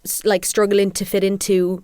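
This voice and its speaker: A woman's voice: medium pitch, flat delivery, moderate pace, a little mellow, no echo. A woman singer-songwriter chatting casually as a guest in a music interview.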